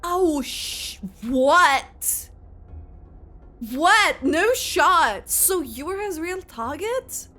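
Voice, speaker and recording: A woman streamer giving a casual, exclamatory and expressive reaction to a video game. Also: very high voice, drawn-out words, faint music behind.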